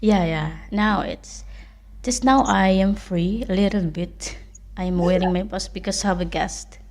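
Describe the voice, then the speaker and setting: A woman with a Filipino accent whose first language is Tagalog. She chats as a guest on a livestream in halting English and laughs often. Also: medium pitch, darkish tone. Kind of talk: casual livestream chat.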